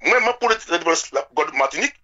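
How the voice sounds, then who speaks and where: A man's voice: fairly high pitch, expressive, very fast, bright, forceful tone, muffled recording. A man with a French Caribbean accent in an impassioned, informal political discussion, repeating words emphatically. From French Antilles. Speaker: radio show speaker.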